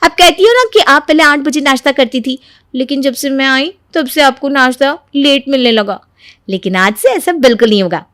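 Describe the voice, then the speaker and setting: A woman playing a sly young Indian daughter-in-law in an animated moral story, eager, insistent and full of mock innocence. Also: high-pitched, moderate pace, no room sound, clean studio sound. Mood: eager, sugary.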